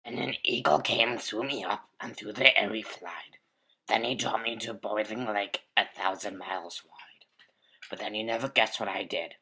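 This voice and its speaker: A man with a breathy, husky voice reciting a comic tall-tale poem. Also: lowish voice, moderate pace, bright, forceful tone, poor audio.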